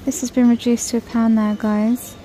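Woman, casual shop vlog voice, slightly high, flat delivery, mellow tone; faint store hum behind. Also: normal speed. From United Kingdom. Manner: chatty, listing prices while browsing. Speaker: shopping vlogger. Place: large store aisle, indoors. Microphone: handheld phone camera.

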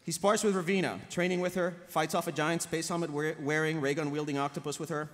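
A woman's voice: low-pitched, fairly even intonation, fast. A woman narrating stage directions from a script at a live table read. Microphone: table microphone on a panel. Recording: echoey convention hall, stage microphone.

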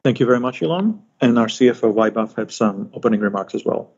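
A man with a mid-pitched voice moderates a company conference call. He introduces speakers and reads questions in a businesslike style. The audio is dull and lo-fi. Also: lively intonation, fast, darkish tone, breathy edge.

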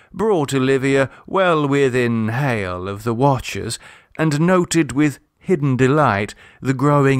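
A man narrates a literary short story at a slow, careful pace. His delivery is measured and expressive, with a mid-pitched voice. The recording is dry and close. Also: no room sound. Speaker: audiobook narrator.

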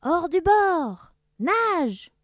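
A woman voicing a cruel male pirate captain in a children's story, shouting insults at his crew. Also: lowish voice, lively intonation, normal speed, dry recording, clean studio sound, lightly acted. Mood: bellowing.

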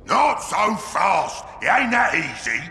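An actor playing a brash, greedy ork warlord in a video game, growling and bullying with a Cockney accent. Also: male voice, high voice, big pitch swings, slow, roomy sound, a little hiss or hum, full character voice. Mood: rallying, boastful. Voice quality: gravelly, growling. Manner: shouted, bullying. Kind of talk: video game character acting.

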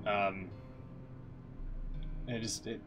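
A man's voice: fairly low pitch, flat delivery, very slow, a bit bright. A man in his thirties giving casual, sardonic, sweary commentary as a game livestreamer at home. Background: music.

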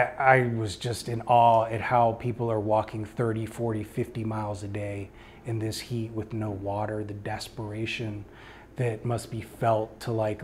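A male artist answering audience questions at a gallery talk, speaking informally in a reflective, halting, earnest way. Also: on the low side, slight background noise. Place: indoor art gallery before a small seated audience.

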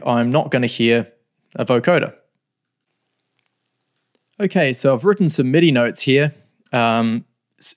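A middle-aged man teaching a music software tutorial, explaining step by step in a relaxed way with thinking pauses, recorded at a desk in a home studio. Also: medium pitch.

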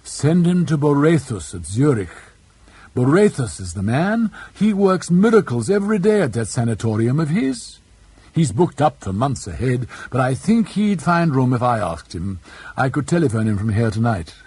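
A man's voice: medium pitch, sing-song, normal speed, darkish tone, husky, no room sound. A man voicing a brash, confident character in an audiobook, cheerfully hectoring. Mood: pushy, confident. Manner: cheerful, pushy.

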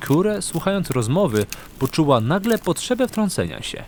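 Expressive male fantasy narrator. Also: on the high side, normal speed, a little hiss or hum. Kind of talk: scripted dramatic fantasy narration.

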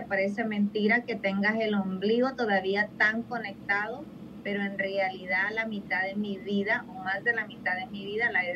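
A woman's voice: medium pitch, highs cut off, background noise. A middle-aged woman from El Salvador with a Salvadoran accent, a talkative guest on a video-call interview who laughs easily. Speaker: guest, financial advisor. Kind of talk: interview conversation. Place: office. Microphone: video call webcam.

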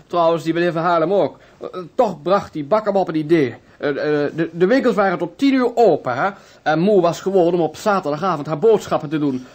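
A jovial, folksy amateur detective in a radio play , acted by a man. He has a high-pitched voice and feels his way hesitantly through his reasoning. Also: expressive, fairly quick, darkish tone, close to an everyday voice. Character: chuckling, hesitant, folksy male amateur sleuth. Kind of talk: radio drama acting.

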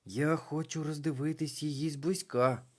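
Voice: mid-range voice, steady tone, normal speed, a little mellow, pitched above the performer's own voice, close to an everyday voice. The reader voicing a small, stubborn real witch who keeps pressing her point. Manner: stubborn and eager.

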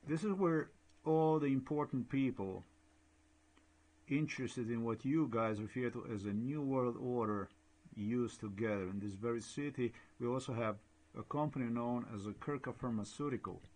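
A man from Slovenia with a Slovenian accent, speaking halting, repetitive English as a non-native speaker while commenting over a shared screen. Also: medium pitch, darkish tone. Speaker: blogger.

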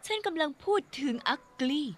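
A woman voicing a worried, sharp-tongued young female animal in a cartoon, doubtful and scolding. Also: fairly quick, natural voice.

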